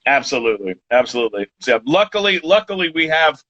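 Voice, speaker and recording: A man in his forties, a podcast guest chatting casually, rambling and halting with many restarts. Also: fairly high pitch, big pitch swings, a bit bright, no room sound. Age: 40s. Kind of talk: casual chat. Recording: dull lo-fi sound.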